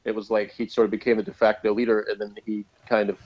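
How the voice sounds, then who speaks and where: A man's voice: on the low side, little pitch movement, fast talker. Slightly muffled man hosting casual review chat. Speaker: review show host. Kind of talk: casual review chat, reading out notes and commenting. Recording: slightly dull, lo-fi sound.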